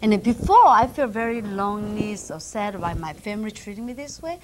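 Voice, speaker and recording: A woman's voice: medium pitch, animated, moderate pace, background noise. A middle-aged woman from Korea with a Korean accent and simple, broken English, a talk-show guest vividly telling her story on a TV studio set, on a lapel mic. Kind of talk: casual chat. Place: TV studio set decked with flowers.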